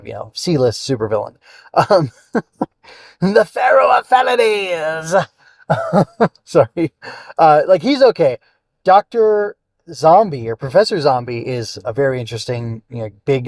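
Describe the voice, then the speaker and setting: A man with a slightly high voice hosts a casual solo review podcast. He talks off the cuff, circling his thoughts and hunting for the right word. The sound is dry, with very little room around it. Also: a little mellow, dry recording. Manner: rambling, searching for words, thinking aloud. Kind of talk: casual unscripted review monologue.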